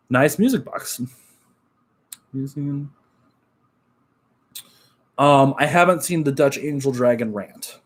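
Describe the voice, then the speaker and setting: A young man with a nasal voice, chatting casually on a live stream, upbeat and relaxed, full of fillers. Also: medium pitch, very slow, pauses often. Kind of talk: casual live-stream chat reading comments.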